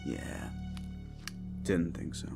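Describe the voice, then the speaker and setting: A seasoned demon monster hunter, acted by a man with a low, rough growl of a voice. He takes his time, talking in a slow, dry, wry way, gruff but protective, as in a horror audio drama with faint music behind. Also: steady tone, warm tone, full character voice. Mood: low, wary. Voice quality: gravelly. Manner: laconic, wry, unhurried. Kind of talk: action-horror audio roleplay.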